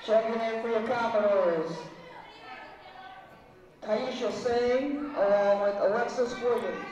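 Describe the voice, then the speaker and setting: A public address announcer making measured, drawn-out player announcements in a large gym. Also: medium pitch, a crowd in the background, background noise. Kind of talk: arena announcements. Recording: distant echoey gym PA, dull.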